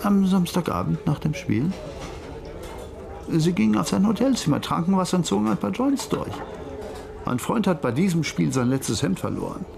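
Chatty, gravelly streetwise informant. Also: male voice, sits high, animated, exaggerated voice. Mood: cagey, haggling. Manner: teasing, drawn-out storytelling. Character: streetwise male police informant, cagey, chatty, haggling. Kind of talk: radio crime drama acting. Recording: music playing behind the voice.

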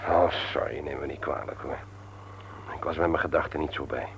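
A man's voice: rumbling low, steady tone, brisk, gravelly, slight background noise. An actor playing a mild, hesitant office manager and family man in a Dutch radio play, polite and apologetic. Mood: subdued, apologetic. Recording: muffled old radio play recording.

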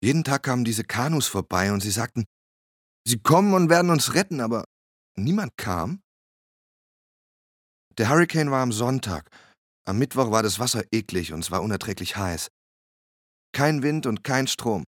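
Ein Schauspieler spielt einen entnervten, verbitterten Überlebenden eines Hurrikans in einem Hörspielmonolog. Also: male voice, medium pitch, animated, normal speed, long pauses, dry recording, clean studio sound, close to an everyday voice. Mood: bitter, weary. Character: fed-up stranded male hurricane survivor, bitter. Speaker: radio drama actor. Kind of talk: dramatic first-person survivor monologue.

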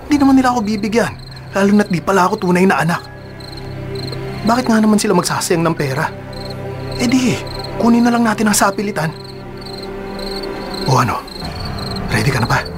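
A resentful young adopted son in a radio drama, intense and brooding. His voice sits on the high side, and clear dramatic music plays behind him. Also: lively intonation, fairly quick, long pauses. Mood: bitter. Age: young adult. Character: resentful adopted son plotting a revenge robbery. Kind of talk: Filipino radio drama acting.

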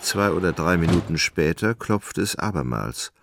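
A man narrating a literary radio drama in a measured, solemn manner. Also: low voice, lively intonation, vocal fry, noisy background.

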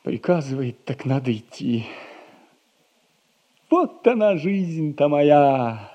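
Mellow, lovelorn, self-pitying young clerk. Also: male voice, on the high side, highs cut off, full character voice. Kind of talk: 1960s theatrical radio comedy acting.

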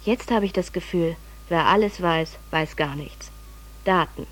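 A woman's voice: lowish voice, a little slow, low-quality recording. An actress playing an overwhelmed, self-ironic, pedantic woman journalist in a radio drama monologue, speaking in a dramatic, articulate way. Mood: exasperated. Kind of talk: radio drama monologue, letter read aloud.

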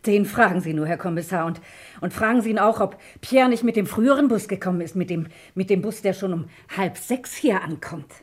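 An actress playing a bitter, accusing farm woman in a German radio crime drama, husky and sharp-tongued. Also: mid-range voice, fairly quick, lightly acted. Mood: insistent, accusing. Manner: sharp-tongued, insistent, repeats herself.

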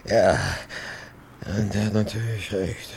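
An actor in a German radio drama playing a coarse, cynical opportunist who rants in a crude, agitated, sneering way. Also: male voice, lowish voice, fairly even intonation, slow, creaky, darkish tone, a little hiss or hum. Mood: agitated, indignant. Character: coarse, cynical, ranting man, a crooked opportunist.

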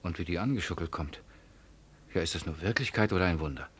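A man's voice: sits low, fast talker, breathy edge. A man acting in a radio play as a flustered, injured company director, stammering and exclaiming in disbelief. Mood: bewildered, pained.

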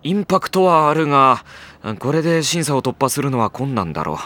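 A man playing a put-upon high-school boy, a dry, grumbling straight man in an anime comedy audio drama. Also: sing-song, fairly quick, pushed and bright, slight background noise. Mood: wry, weary.

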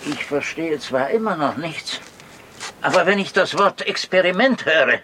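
An actor playing a sober, cautious middle-aged doctor who speaks formally and with exasperation in a radio drama. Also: male voice, fairly high pitch, animated, measured pace, background noise. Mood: urgent warning. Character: sober, cautious, worried doctor, urging reason.

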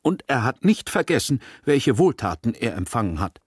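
A man's voice: mid-range voice, very animated, normal speed, breathy edge, no echo, studio quality, pitched above the performer's own voice. Man acting out an indignant, aggrieved village man. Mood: bitter, outraged. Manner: emphatic, protesting, self-righteous. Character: aggrieved, pathetic, indignant male villager of little means. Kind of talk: acted dialogue in a literary audiobook reading.

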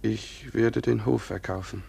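Stubborn doomsday farmer, low creaky voice, grave, old 50s radio play. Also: male voice, steady tone, a little slow, darkish tone, full character voice. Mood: resolute. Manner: grave, resolute. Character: fatalistic stubborn farmer husband convinced of doom. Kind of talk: 1950s German radio play acting.

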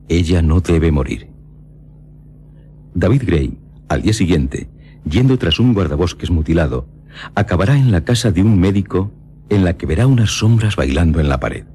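Male narrator, low pitch, lively intonation, solemn storytelling, scripted radio read. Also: a little hiss or hum. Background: music behind the voice.

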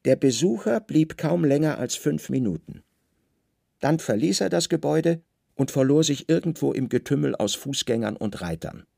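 A man narrating a literary radio play with dramatic, deliberate storytelling in short phrases. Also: fairly high pitch, expressive, creaky, warm tone, slightly rough, no room sound, clean studio sound.

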